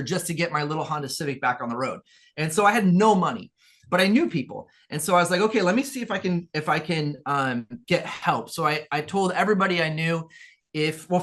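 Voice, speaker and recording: Earnest man, slightly high voice. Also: fairly bright tone. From Peru. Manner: earnest, rambling with repeated restarts. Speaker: guest, business owner. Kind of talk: casual interview storytelling.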